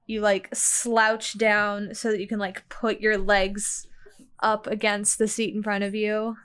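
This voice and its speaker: A woman's voice: medium pitch, flat delivery, a little slow, fairly bright tone, clean tone. A woman playing a tabletop roleplaying game, casually improvising a description of what her character does. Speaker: player in a tabletop roleplaying podcast.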